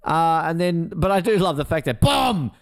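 A man's voice: on the high side, big pitch swings, moderate pace, no room sound, studio quality. A man chatting casually as a podcast co-host, jokey and rambling. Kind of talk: casual chat.

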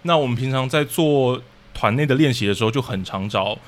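Male interview guest, Taiwanese Mandarin accent, brisk delivery, casual explanatory talk. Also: mid-range voice. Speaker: podcast guest, audio drama troupe leader.

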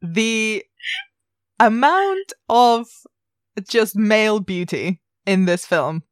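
A woman's voice: mid-range voice, lively intonation, very slow, no echo, clean studio sound. A woman co-hosting a casual film discussion, speaking in an emphatic, opinionated and playful way. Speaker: podcast co-host.

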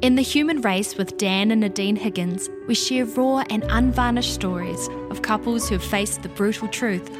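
A woman's voice: medium pitch, normal speed, few pauses, music in the background. A woman delivering a scripted promotional voice-over for a podcast.

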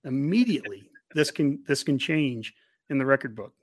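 A man's voice: mid-range voice, expressive, normal speed, dry recording, studio quality. An American man hosting a casual college football talk show, speaking emphatically and repeating points for stress. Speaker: podcast host.

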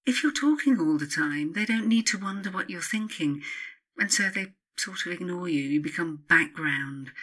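A woman reading her own self-help book as scripted narration, in a measured, instructive manner with emphatic pauses. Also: fairly low pitch, a bit bright.

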